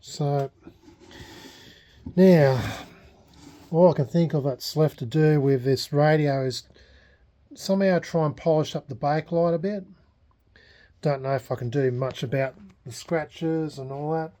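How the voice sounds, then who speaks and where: Australian male hobbyist vlogger, relaxed dark tone, hesitant and unscripted delivery. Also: on the high side. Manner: halting, hesitant, unscripted delivery with many pauses. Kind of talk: casual restoration progress vlog.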